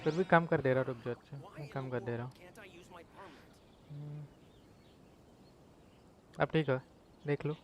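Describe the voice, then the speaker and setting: A man's voice: on the high side, steady tone, rapid-fire, long pauses. A man with an Indian accent, chatting casually with viewers as he livestreams a game. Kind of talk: casual chat with stream viewers.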